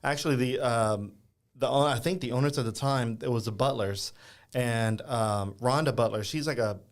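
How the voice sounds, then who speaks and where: A man hosting a casual podcast interview, easygoing and hesitant, filling pauses with ums and uhs. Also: medium pitch. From Louisiana. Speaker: podcast host.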